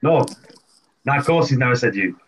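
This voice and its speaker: A man joins a relaxed group chat, throwing out teasing jokes in a plain mid-range voice. He sounds easygoing and quick to rib the others. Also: medium pitch, dull audio, a little hiss or hum. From United Kingdom. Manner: teasing, joking. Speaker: chat participant.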